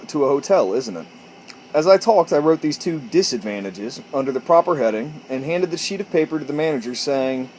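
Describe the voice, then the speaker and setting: A man's voice: on the high side, a little mellow, slight background noise, low-quality recording. A man chatting casually and reading aloud on an outdoor porch, informal and sometimes stumbling over words and correcting himself. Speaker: amateur storyteller and video host.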